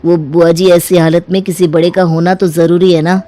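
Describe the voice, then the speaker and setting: Woman voicing a low, hesitant young man. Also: level tone, moderate pace, warm tone, natural voice. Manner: hesitant, deferential. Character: hesitant, respectful young nephew, a husband.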